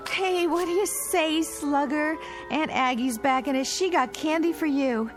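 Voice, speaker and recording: A woman's voice: high-pitched, unhurried, over music. An actress in a radio drama playing an earnest, friendly working woman, plain-spoken with a wistful, hesitant edge.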